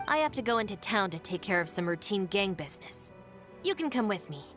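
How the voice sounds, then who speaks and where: Dry, level-headed young gang deputy. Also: female voice, mid-range voice, big pitch swings, fairly quick, fairly bright tone, soft background music. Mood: businesslike. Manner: matter-of-fact, wry, unimpressed. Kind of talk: video game voice acting.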